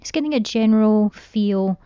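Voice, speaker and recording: A woman guiding a meditation in a gentle, soothing, unhurried way. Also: mid-range voice, clean tone, no echo, dull audio. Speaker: meditation guide. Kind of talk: guided meditation.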